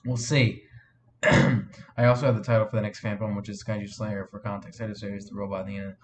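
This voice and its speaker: A man's voice: lowish voice, little pitch movement, a little fast, a little hiss or hum. A sniffly man hosting a casual live stream, rambling with lots of fillers and restarts as he answers viewer chat. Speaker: live streamer and fan film maker.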